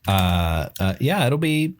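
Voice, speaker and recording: A young man in his twenties with a fairly low voice trades jokes in a loose podcast conversation. He talks casually and is quick to laugh. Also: very animated. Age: 20s. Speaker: podcast co-host. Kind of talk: casual chat.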